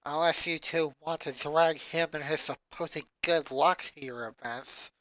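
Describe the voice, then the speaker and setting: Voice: medium pitch, a little slow, fairly bright tone, no room sound, lightly acted. A fan reader voicing a condescending child genius who speaks formally and dryly. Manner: formal, clipped, dry. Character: pedantic genius little girl, condescending.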